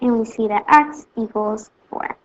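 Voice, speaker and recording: A woman teacher calmly explaining math steps in a recorded video lesson. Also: fairly high pitch, a little slow, muffled recording. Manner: plain, steady step-by-step explaining.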